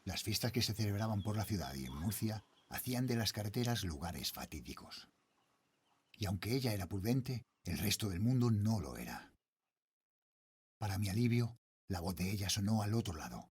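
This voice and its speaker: Casting: anxious father working night security, Spanish accent from Spain, low register, highly expressive intonation, horror audio fiction. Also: moderate pace, husky, full character voice. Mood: uneasy, brooding. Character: worried father, a night security guard narrating a horror tale. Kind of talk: scripted first-person horror audio drama narration.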